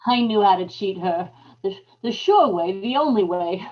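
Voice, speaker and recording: A woman's voice: mid-range voice, lively intonation, moderate pace, lightly acted. A woman playing a terrified, guilt-ridden wife losing her grip, in old-time radio horror style, dramatic and obsessively repetitive. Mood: frantic, defiant. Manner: dramatic, haunted, repeating words obsessively. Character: terrified, unraveling young farm wife, guilt-ridden and paranoid. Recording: dull lo-fi live recording with background noise.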